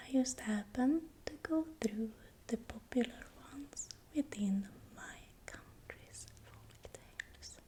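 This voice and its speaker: A woman with a Swedish accent whispering breathily and deliberately in short, soft phrases, as the host of an ASMR video. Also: mid-range voice, a little hiss or hum. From Sweden. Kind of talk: whispered ASMR, explanatory narration.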